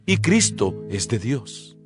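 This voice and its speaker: An actor playing the earnest, exhorting apostle Paul in a dramatized Bible reading, solemn and measured, with rhetorical delivery. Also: male voice, medium pitch, very animated. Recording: music bed behind the voice.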